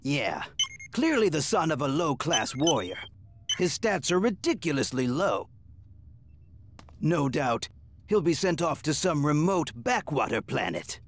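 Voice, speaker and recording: A man's voice: fairly high pitch, very animated, unhurried, faint music behind. An actor playing a dismissive male alien warrior official in an anime video game, with a disdainful, clinical manner. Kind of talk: dubbed anime game acting.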